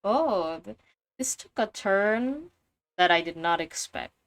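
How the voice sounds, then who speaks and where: Slow-talking young woman game streamer. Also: medium pitch, animated, no echo. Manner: informal, slangy asides. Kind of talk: casual reaction commentary over gameplay.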